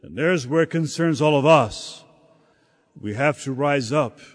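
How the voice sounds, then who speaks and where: Slow-preaching man in a reverberant hall. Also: on the high side. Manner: slow, halting delivery with frequent repeated words. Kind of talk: sermon.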